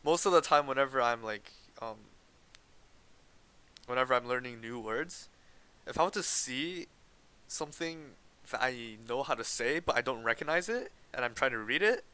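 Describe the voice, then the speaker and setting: Teen male co-host from Canada, bright crisp voice, casual hesitant chat with restarts. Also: mid-range voice, moderate pace. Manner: hesitant, restarts often, laughs while explaining. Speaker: podcast co-host.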